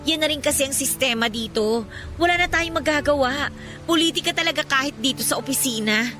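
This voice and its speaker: A high, bright-voiced office girlfriend in a radio drama. She is chatty, sympathetic and a little scheming, nudging for agreement over faint music. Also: a little fast. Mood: resigned, bitter. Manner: chatty, seeking agreement with tag questions. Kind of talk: Filipino radio drama dialogue.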